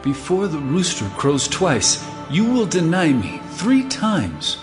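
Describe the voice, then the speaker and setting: A man playing a solemn, authoritative holy teacher in a scripture audio drama, speaking deliberately and emphatically. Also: on the high side, big pitch swings, music in the background.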